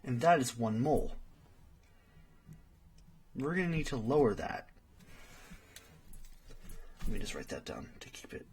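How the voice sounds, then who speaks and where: A man's voice: mid-range voice, sing-song, a little slow, pauses often, darkish tone, slightly rough, exaggerated voice. A man playing a friendly young friend in an ASMR roleplay, patiently tutoring and thinking aloud in a hesitant way. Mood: concentrating, unsure. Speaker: study partner.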